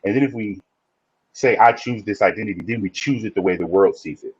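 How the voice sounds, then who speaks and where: A man's voice: on the low side, fairly quick, husky, no room sound, lo-fi sound. A man guesting on a podcast, talking reflectively in a casual interview and often restarting his sentences. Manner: reflective, speaks in restarts and repeats.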